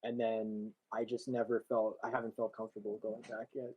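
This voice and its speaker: A man's voice: lowish voice, flat delivery, brisk, husky, dull audio. A man, a comic artist, talking casually and hesitantly on a video call. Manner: hesitant, lots of fillers and restarts. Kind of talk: casual chat.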